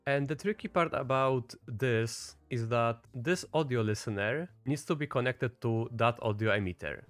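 A man's voice: mid-range voice, moderate pace, no echo, faint music behind. A man giving a casual, step-by-step screen-recorded tutorial as the host. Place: voice-over at a computer.

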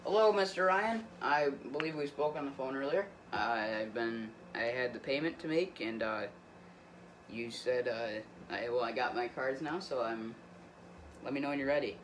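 A man's voice: medium pitch, normal speed, poor audio. A teenage male prank caller speaking politely and hesitantly in a casual phone conversation, recorded in a small indoor room. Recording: faint background noise, room camera.

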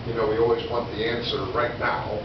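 A man in his sixties delivers a sermon from a lectern, phrasing it deliberately with pauses and rhetorical questions. His medium-pitched voice reaches a distant mic through heavy room echo. The recording is dull, with clear background noise. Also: monotone. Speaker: preacher. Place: church sanctuary or hall, indoors at a lectern.

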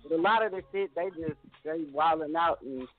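A man's voice: fairly high pitch, a little fast, faint music behind. A man co-hosting a casual radio show, talking bluntly and profanely in ranting bursts. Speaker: radio co-host. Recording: tinny phone-line sound.

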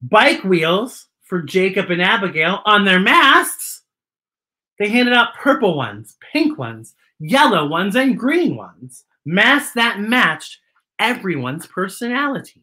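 Children's storytime host: American man, theatrical and exclamatory, very animated, bright tone. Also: sits high. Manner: theatrical, exclamatory, drawn-out emphasis. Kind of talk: children's storytime read-aloud.

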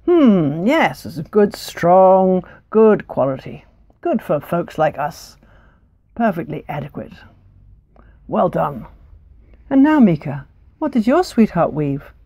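A woman voicing a steady, old-fashioned father in a told folk tale, measured and a bit formal. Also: lowish voice, dry recording, clean studio sound, heavily acted. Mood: approving.

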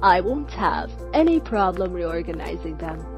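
A woman playing a gentle, courteous middle-aged mother in a cartoon comic dub. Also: lowish voice, sing-song, moderate pace. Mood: polite. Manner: courteous, hesitant. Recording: music behind.

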